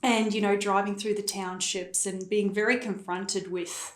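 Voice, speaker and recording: A woman's voice: medium pitch, steady tone, normal speed. A young adult woman from Africa, speaking as a guest in a conversational interview in an earnest, affirming way. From South Africa. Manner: earnest, affirming, frequent "you know". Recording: echoey room.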